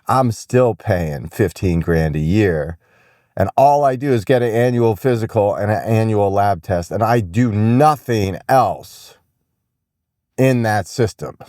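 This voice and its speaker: A man's voice: fairly low pitch, slow, warm tone, dry recording, clean studio sound. An American man hosting an opinion podcast, ranting casually in an exasperated, emphatic way and repeating phrases for effect.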